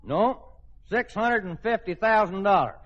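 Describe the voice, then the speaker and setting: An old, noisy radio comedy with an easygoing Texan rancher. His voice is on the high side and rises and falls a lot as he talks in a folksy, plain-spoken drawl. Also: animated, a little slow, highs cut off.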